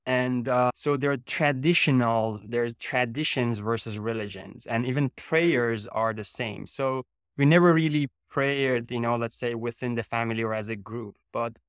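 A man in his thirties from Iran, raised in Canada, talking as a guest researcher over a video call from a home office, speaking haltingly with many fillers. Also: mid-range voice, a bit bright, dry recording, clean studio sound. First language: Persian. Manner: hesitant, searching for words with many fillers. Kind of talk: relaxed video-call interview.

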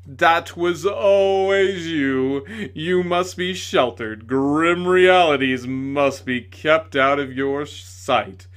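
A man reading aloud voices a bitter, theatrical male ghost who declaims slowly and loudly with self-pity. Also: high voice, voice pushed up, exaggerated voice. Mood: bitter triumph. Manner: loud, slow, melodramatic declaiming.

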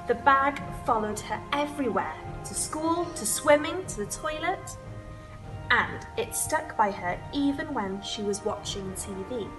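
A young woman reads a children's story aloud with expression. Her pace is slow and clear in its phrasing, her pitch a little high, and faint music plays behind her. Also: poor audio. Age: young adult. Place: indoors, room with wood-panelled wall and sofa.

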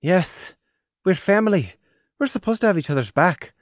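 A man's voice: high-pitched, lively intonation, moderate pace, dry recording, clean studio sound, pitched above the performer's own voice. A man voicing a young stallion, an anxious brother who stammers and pleads for forgiveness. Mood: pleading.

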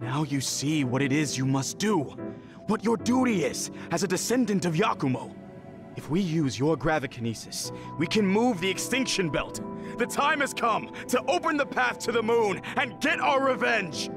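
A stern fanatic big brother villain from a video game, bossy n condescending, loud dramatic music behind. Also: male voice, high-pitched, lively intonation, normal speed. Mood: fervent, forceful. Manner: commanding, condescending, declamatory. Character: stern, fanatical, domineering elder brother bent on revenge. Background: dramatic background music.

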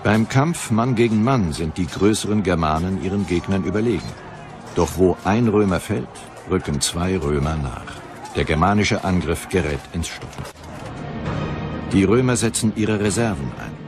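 A man narrating a scripted historical documentary in a measured, serious and dramatic manner. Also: low-pitched, expressive. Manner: measured, serious, dramatic present-tense telling. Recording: music behind the voice. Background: music bed.

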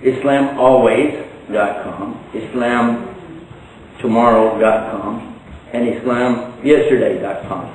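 A man's voice: mid-range voice, fairly even intonation, slow, darkish tone, a little hiss or hum. Older man, Texan accent, folksy lecturer, faint hall ambience. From Texas. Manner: folksy, joking asides. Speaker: Islamic preacher. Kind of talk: public lecture. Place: large hall, indoors. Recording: echoey hall from a distance.

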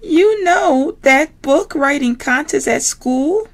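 A woman's voice: sits high, expressive, slow pace, pitched above the performer's own voice. A woman reading a storybook aloud and voicing a vain, sweet-talking female pig who coaxes and gushes in long run-on pleas. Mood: gushing. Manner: gushing, coaxing, breathless run-on pleading.

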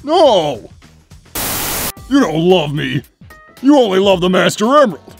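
A man voicing a gruff, hot-tempered, gullible young echidna, blunt and indignant, in a cartoon comic dub. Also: sits high, very animated, a little slow, faint music behind, exaggerated voice. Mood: annoyed.

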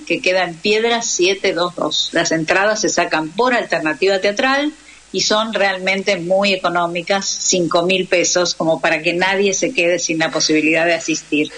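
Low-voiced Argentine woman, explanatory. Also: a bit bright, poor audio. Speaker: radio theatre guest. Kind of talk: live radio interview, conversational.

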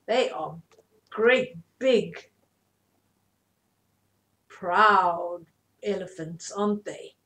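A woman in her fifties reading a story aloud to children in an animated way, often asking the listener questions. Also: mid-range voice. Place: small indoor room at home.

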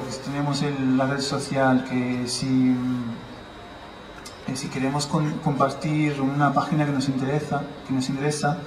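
A man from Italy giving an informal public talk outdoors, explaining ideas and posing rhetorical questions. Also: medium pitch. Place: outdoors at a building entrance on a city square. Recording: roomy, distant, noisy, church bells.

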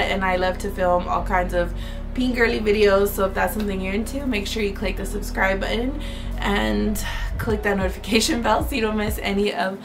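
A young woman in her twenties with a hoarse, raspy voice from a sore throat, chatting casually to camera about her shopping haul and filling her talk with "um" and "like.". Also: medium pitch. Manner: chatty, many "um" and "like" fillers. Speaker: beauty vlogger.

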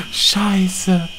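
A young man co-hosting a gaming video, bantering casually with excitable yelling and laughter. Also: sits high, vocal fry, a little hiss or hum. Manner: excitable, yelling and laughing, silly English asides. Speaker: gaming channel co-host. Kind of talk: casual let's-play banter. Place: small room at home, seen on webcam.

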